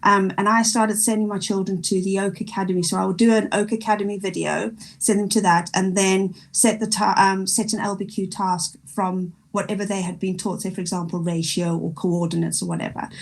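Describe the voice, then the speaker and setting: A woman with a South African accent, a primary school teacher talking in an online panel discussion, chatty and animated with many restarts. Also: medium pitch, a little fast, vocal fry, hardly any pauses.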